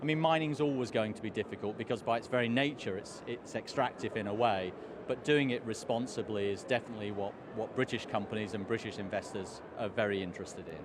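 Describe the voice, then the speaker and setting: A hoarse man, a trade commissioner, answering interview questions in a measured way with many fillers. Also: fairly low pitch. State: hoarse, losing his voice. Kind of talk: live outdoor interview answers. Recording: street noise behind.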